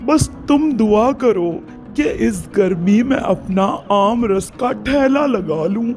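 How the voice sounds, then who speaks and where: A man's voice: high voice, lively intonation, slow, darkish tone. A voice actor playing an eager, polite young male juice seller in a cartoon story. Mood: cheerful. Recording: cartoon voice-over with faint music.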